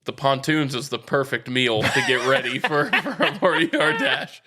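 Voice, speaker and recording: A man's voice: medium pitch, sing-song, moderate pace, pushed and bright. A man co-hosting a podcast, chatting casually and teasing his partner in a wry way. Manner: teasing, wry, laughs easily. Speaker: podcast co-host. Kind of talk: casual sports and food chat.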